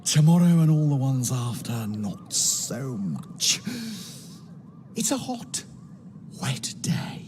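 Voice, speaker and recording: An actor playing a world-weary narrator of an apocalyptic vision in a game cutscene, moody and poetic with dramatic pauses. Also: medium pitch, big pitch swings, background noise. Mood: ominous, brooding.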